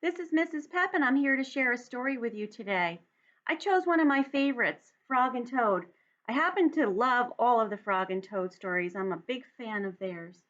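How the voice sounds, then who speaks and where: Lady teacher doing storytime for little kids, highish voice, expressive and clear wording, kinda muffled lo-fi audio. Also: normal speed. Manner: addresses children directly, expressive, carefully enunciated reading. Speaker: teacher reading a story to students. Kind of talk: read-aloud storytime for young children. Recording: dull, lo-fi home recording.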